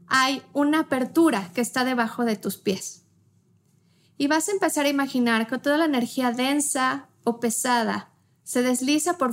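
A woman leading a guided meditation with gentle instructions, in short phrases with pauses between them. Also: on the high side.

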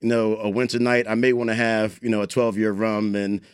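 A man's voice: on the low side, level tone, a little fast, smooth, no echo, studio quality. A man chatting casually as a guest in an interview. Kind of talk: casual chat.

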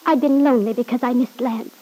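An actress in a classic radio soap opera playing a fragile, lonely wife testifying hesitantly, on a noisy old recording. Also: female voice, a little high, steady tone, mellow, natural voice. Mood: sad, halting. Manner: hesitant, halting.

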